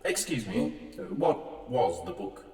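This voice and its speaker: A man playing a formal, solemn task-setter in an audio drama, measured in delivery. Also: mid-range voice, sing-song, mellow. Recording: echoey hall reverb.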